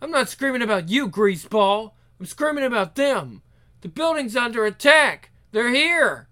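Man as a female vampire prisoner, sardonic, exasperated, sharp voice, lively pitch, audio drama. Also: normal speed. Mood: urgent.

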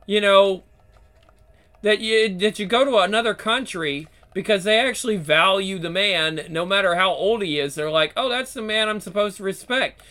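Casting: man in his 30s, channel host, high bright voice, blunt, swears a lot, rambling chat. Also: normal speed. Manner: blunt, profane, rambling with many restarts. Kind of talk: casual chat.

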